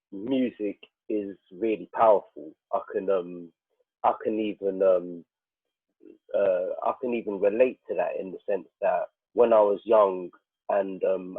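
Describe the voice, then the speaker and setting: Husky man on echoey phone-like line. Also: lowish voice. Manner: hesitant, stop-start phrasing. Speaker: panel guest. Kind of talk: casual unscripted discussion. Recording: muffled phone-like line, roomy echo.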